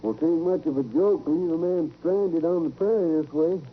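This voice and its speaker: A man's voice: on the high side, fairly quick, close to an everyday voice. A man acting a folksy, deferential marshal's sidekick with a rural American drawl in a 1950s radio western. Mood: concerned, puzzled. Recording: tinny, hissy 1950s radio recording.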